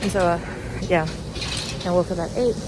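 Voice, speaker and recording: A chirpy, giggly woman in a casual, rambling solo chat, halting often and leaning on "like". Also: fairly low pitch. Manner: giggly, chuckles often between phrases; halting, peppered with "like". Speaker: host of a personal walk-and-talk podcast. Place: outdoors, walking along a street. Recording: clear outdoor street and car noise. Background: passing cars, urban outdoor ambience.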